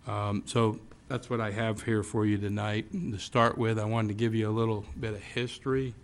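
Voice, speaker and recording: A man who is a police chief, hoarse and losing his voice, giving a polite, slightly halting presentation to a council. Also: lowish voice, level tone.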